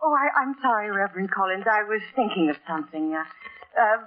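A woman's voice: mid-range voice, expressive, quick pace, narrow, tinny audio, lightly acted. An actress playing a witty, evasive young lady with polite irony, in 1940s radio drama style. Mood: evasive, wry. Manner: polite irony, hesitant stalling. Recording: thin band-limited vintage radio broadcast.

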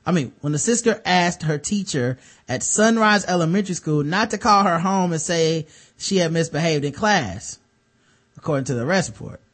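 A man's voice: on the high side, animated, moderate pace, fairly bright tone. A man with a light voice hosting a casual podcast, reading news stories aloud and breaking off for wry, sarcastic comments. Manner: wry, sarcastic asides between read-out passages. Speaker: podcast host.

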